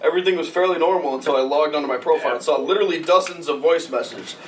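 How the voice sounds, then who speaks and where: A man's voice: on the high side, rapid-fire. A man co-hosting a podcast with casual, jokey, sweary banter and read-aloud horror stories. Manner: jokey, sweary, frequent asides. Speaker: podcast co-host. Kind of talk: casual banter and creepypasta reading. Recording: distant mic, noisy background.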